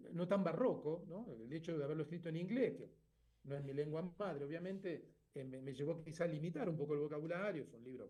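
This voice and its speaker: A man's voice: a little high, warm tone. A middle-aged man explaining his own book as its author, thinking aloud and often checking in with the listener. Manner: thoughtful, checks in with frequent tag questions.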